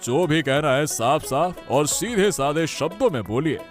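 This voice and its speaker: A man's voice: a little high, animated, a little slow. A man voicing a middle-aged father-in-law in a cartoon story, who speaks firmly and reassuringly. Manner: firm, reassuring, scolding. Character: stern but kind middle-aged father-in-law, head of house. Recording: soft background music.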